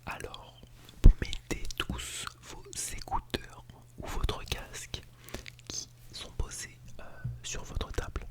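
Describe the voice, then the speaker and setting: An ASMR artist speaking in a soft, unvoiced breathy whisper, playing an instructor giving a lightly playful lesson, recorded close on a binaural microphone. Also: takes it slowly. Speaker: ASMR artist role-playing as a teacher at an ASMR school. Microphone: close Blue Yeti microphone in binaural mode.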